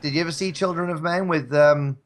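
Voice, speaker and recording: A man's voice: fairly high pitch, fairly even intonation, fairly quick. A man as a podcast guest in casual chat, rambling conversationally. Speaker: podcast guest, radio drama actor.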